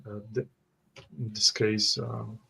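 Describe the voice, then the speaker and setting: Tabletop player, man, gravelly voice, narrates his own moves. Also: lowish voice, level tone, slow pace, pushed and bright, poor audio. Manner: describes actions in first person. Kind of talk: in-character tabletop play.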